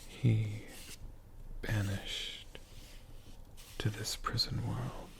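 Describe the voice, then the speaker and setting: A man telling a story in a hushed ASMR whisper, in short halting phrases with long pauses between them. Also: lowish voice, little pitch movement, vocal fry. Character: friendly storytelling dragon.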